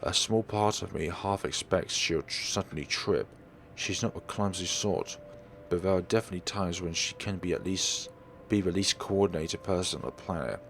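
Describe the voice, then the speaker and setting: Low-voiced man reading a story aloud. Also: moderate pace, creaky, a bit bright, faint music behind. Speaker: let's play host reading a visual novel aloud. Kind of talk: dramatic first-person narration read aloud.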